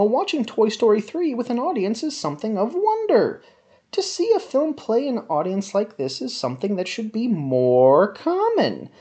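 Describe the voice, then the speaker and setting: A woman giving a theatrical dramatic reading of a written review in an overwrought, melodramatic manner with drawn-out emphasis. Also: a little high, dark tone. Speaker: reader of a written film review.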